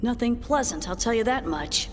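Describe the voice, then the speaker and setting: A woman's voice: on the high side, lively intonation, normal speed, in a large hall, slight background noise, close to an everyday voice. A woman voicing a blunt, self-assured executive who explains things colloquially in a sci-fi video game. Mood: wry.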